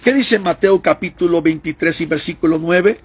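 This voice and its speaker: A man's voice: on the high side, moderate pace, husky. An older man, an evangelical preacher, delivering an online sermon with an emphatic preaching cadence and quoting scripture.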